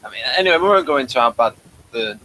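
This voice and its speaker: Bright, forceful man hosting a chat. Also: mid-range voice, lively intonation, rapid-fire, slight background noise, poor audio. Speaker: podcast host. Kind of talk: casual chat review.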